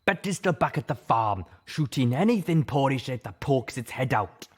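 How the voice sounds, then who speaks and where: A man voicing a scowling, bitter monster dog in an audiobook, gruff and exasperated in manner. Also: mid-range voice, very animated, moderate pace, pitched above the performer's own voice. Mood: bitter, resentful. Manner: gruff, foul-mouthed, exasperated.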